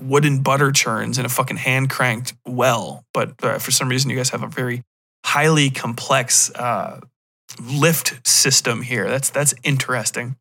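A man hosts a podcast in an ordinary mid-pitched voice. He talks casually and at length, reviewing games in a halting, wandering way. His speech is full of slang and profanity. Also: fairly bright tone. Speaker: podcast host. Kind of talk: casual rambling video game review.

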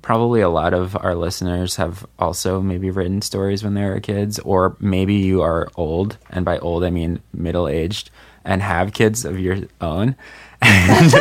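A man hosting a podcast, chatting casually and rambling with lots of fillers and jokes. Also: low-pitched. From Maryland, USA. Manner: rambling, filler-heavy, joking. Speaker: podcast host. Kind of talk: casual chat.

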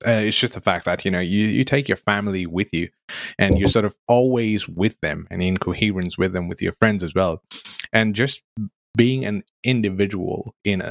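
A man's voice: on the low side, dry recording. A man from Nepal hosting a podcast interview, talking casually and leaning on "like" and "um" as he asks questions. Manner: casual and conversational, with frequent "like" and "um" fillers. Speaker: podcast host.

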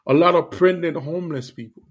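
A man's voice: on the high side, fairly quick. A man born in Haiti hosting a faith podcast, talking straight to his listeners in an earnest, preachy way with many fillers. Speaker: podcast host. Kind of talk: casual faith podcast monologue.

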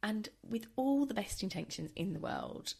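A woman hosting a casual solo podcast, chatty and wry, with a tired, croaky voice, recording at home. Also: mid-range voice, wildly swooping pitch, normal speed, a little mellow. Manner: chatty, wry and self-deprecating. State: hoarse, tired. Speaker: podcast host.

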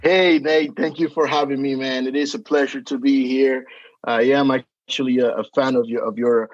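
A man's voice: mid-range voice, steady tone, normal speed, dry recording, soft background music. A Spanish-speaking man from the Dominican Republic, with a Dominican accent, talking as a podcast guest, hesitant with many fillers and restarts. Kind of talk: casual interview chat. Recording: dry, dull lo-fi sound.

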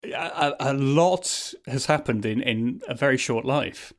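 A man hosting a podcast interview, speaking in a friendly, measured way. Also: medium pitch. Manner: friendly, measured, scripted intro then chat. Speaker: podcast host.

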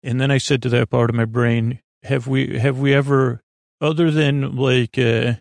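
A man hosting a bedtime podcast in a rambling, improvised monologue, halting and stammering as he meanders into absent-minded, self-deprecating tangents. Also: medium pitch, steady tone, gravelly, darkish tone, no echo, clean studio sound. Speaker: host of a bedtime sleep podcast.

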